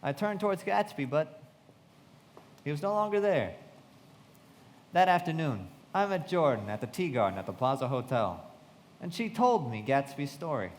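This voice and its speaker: A man's voice: on the high side, expressive, moderate pace, pauses often, natural voice. An actor playing an earnest, curious young man who also narrates a live radio play, recorded in an echoey hall. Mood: narrating. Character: curious, naive young man narrating his own story. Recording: echoey hall with long reverb.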